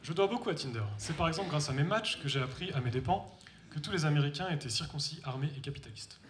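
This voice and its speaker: A man's voice: fairly high pitch, animated, fast talker. Wry young Frenchman telling stories on stage. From France. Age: young adult. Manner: wry, self-mocking, talks to the audience. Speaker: stage storyteller. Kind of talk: comic personal storytelling on stage. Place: stage in a hall with an audience. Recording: echoey hall with faint noise.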